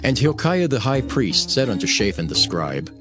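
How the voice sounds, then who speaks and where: A man's voice: medium pitch, sing-song, moderate pace. A man narrating dramatized scripture as a solemn storyteller. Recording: voice over prominent music. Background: music.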